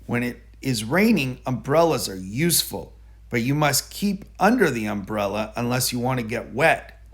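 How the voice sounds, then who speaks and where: A man narrating a children's picture book aloud in a deliberate, explanatory manner. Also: mid-range voice, sing-song, husky. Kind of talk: children's storybook read-aloud.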